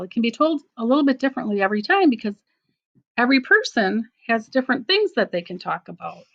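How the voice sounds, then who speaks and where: A woman teacher reading a storybook aloud to children, in simple phrases with deliberate pauses. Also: mid-range voice, creaky, fairly bright tone, dull audio. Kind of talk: storybook read-aloud with explanatory asides.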